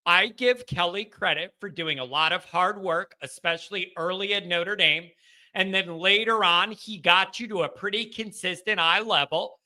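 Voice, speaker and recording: A man hosting a call-in sports show from his basement, talking in an animated, rambling way and mocking himself now and then. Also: high-pitched. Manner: animated, rambling, self-deprecating. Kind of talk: casual call-in chat. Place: home basement.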